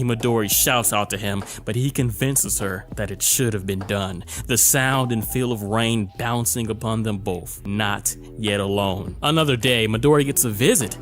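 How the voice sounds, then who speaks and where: Guy narrating a dramatic story recap, medium pitched voice, theatrical, music playing behind him.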